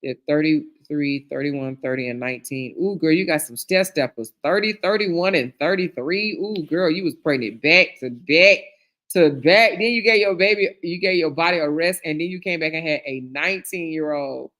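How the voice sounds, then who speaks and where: A woman's voice: low voice, normal speed, a bit bright. A middle-aged woman hosting a live stream, reading viewer comments aloud and reacting in a chatty way, calling people girl, honey and child. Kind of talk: casual chat.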